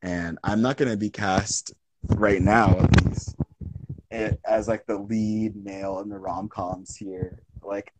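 A man's voice: lowish voice, fairly even intonation, takes it slowly, very noisy. A young man in casual conversation on a live video call, speaking thoughtfully and rambling with frequent fillers and restarts, recorded close to a phone indoors at home. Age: young adult. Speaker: artist in conversation. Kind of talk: casual live-stream chat. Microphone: phone close to face on a video call.

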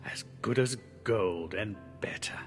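An actor playing a tender, wistful poor father in a storybook reading, hushed, halting and tremulous. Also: on the low side, soft background music, close to an everyday voice.

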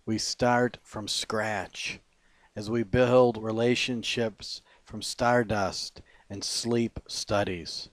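A man reading poetry as a poet, in measured, deliberate phrases with pauses. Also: fairly low pitch, no echo.

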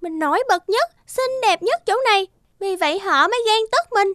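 Very high, whiny little girl. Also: animated, normal speed, no room sound, clean studio sound. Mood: vain, sulky. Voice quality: childlike, high and bright. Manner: whiny, theatrical. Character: vain, spoiled little girl, later frightened and pleading. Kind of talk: cartoon fairy-tale dubbing. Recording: music behind the voice.

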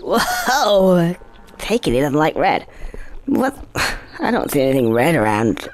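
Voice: medium pitch, wildly swooping pitch, a little slow, a little hiss or hum. A performer voicing an easygoing cartoon squirrel hero in a video game, wry and hesitant, muttering asides to himself over music. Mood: wry, puzzled. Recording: music underneath.

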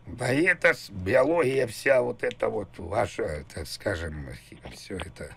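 A man in his fifties with a low, creaky voice, chatting casually on a prank call. He rambles, trails off and keeps insisting, with suggestive hints. Faint noise sits behind him. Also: lively intonation. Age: 50s. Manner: rambling, halting, innuendo-laden, insistent. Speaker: prank caller. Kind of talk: casual phone prank conversation.

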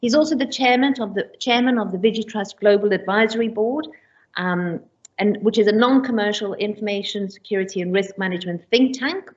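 A woman's voice: mid-range voice, brisk. Casting: woman event host at a podium, thirties, formal, muffled stream audio. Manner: warm formal introductions with frequent ums. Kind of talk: introducing a speaker. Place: conference room indoors.

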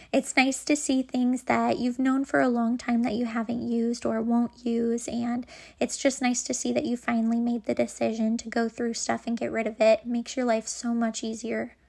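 Casting: female lifestyle vlogger, informal chatty narration, flat intonation with noticeable vocal fry. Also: fairly high pitch, normal speed. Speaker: home organizing vlogger. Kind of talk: casual voice-over narration.

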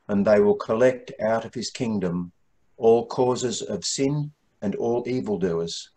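An older man reading scripture in a measured, solemn manner as the Gospel reader at a church service. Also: lowish voice, darkish tone, rough recording. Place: small room at home, indoors.

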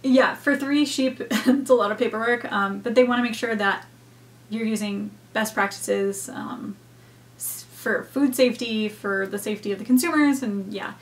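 A woman's voice: a little high, normal speed. A young woman hosting a reaction video from a room at home, talking casually and thoughtfully with many fillers.

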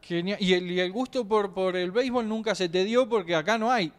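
Male interviewer, South American accent, notably high pitch, conversational sports-chat delivery. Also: fairly quick. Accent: South American Spanish. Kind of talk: casual interview questions.

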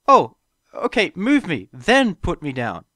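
A man's voice: sits high, huge pitch swings, a little slow, bright, forceful tone, no echo, clean studio sound, voice pushed up, exaggerated voice. A man voicing a ladylike, bossy female pony medic in an audiobook, prim and exasperated. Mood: alarmed, indignant. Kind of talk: audiobook character voice.

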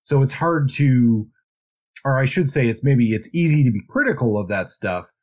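A man's voice: mid-range voice, lively intonation, moderate pace, darkish tone. A man co-hosting a podcast, talking through books in a casual, reflective and explanatory way. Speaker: podcast co-host. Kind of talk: casual book discussion.

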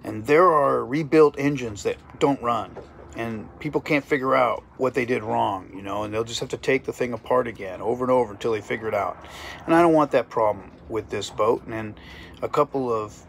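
A man's voice: medium pitch, fairly quick, creaky, a little hiss or hum. A man in his fifties, chatting casually about boat repairs while filming himself on a phone in a small boat cabin. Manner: explains step by step, chatty. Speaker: sailing vlogger. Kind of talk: casual vlog narration. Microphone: phone held at arm's length.

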